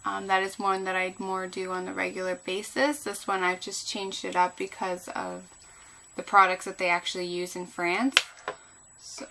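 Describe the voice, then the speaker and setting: A woman's voice: medium pitch, creaky, bright, forceful tone, a little hiss or hum, rough recording. A woman chatting casually through a makeup tutorial as a beauty vlogger, sounding a little groggy and tired. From Canada. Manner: chatty, rambling.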